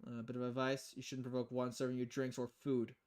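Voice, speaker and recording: A streamer voicing a polite, low-key young female bartender with a deadpan manner in a visual novel game. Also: brisk, lightly acted. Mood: polite, dry. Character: polite, dry-witted young woman bartender.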